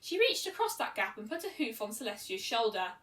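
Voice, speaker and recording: A woman's voice: fairly high pitch, big pitch swings, brisk, fairly bright tone. A woman narrating a scripted fan fiction story as an amateur reader. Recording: roomy echo, distant microphone.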